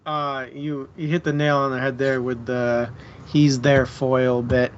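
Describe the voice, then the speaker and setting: A man's voice: medium pitch, little pitch movement, unhurried, lo-fi sound, a little hiss or hum. A man joining a casual book discussion, joking at his own expense and laughing often. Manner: joking, self-mocking, giggly.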